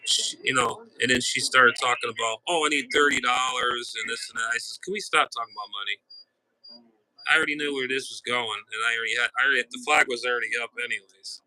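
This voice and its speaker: Male streamer, mid-pitched, very sharp and tense tone; aggravated, blunt, off-the-cuff storyteller with halting, repetitive phrasing. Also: steady tone, slight background noise, rough recording. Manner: exasperated, blunt, mildly profane. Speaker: amateur radio hobbyist streamer. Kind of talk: casual rambling storytelling to viewers.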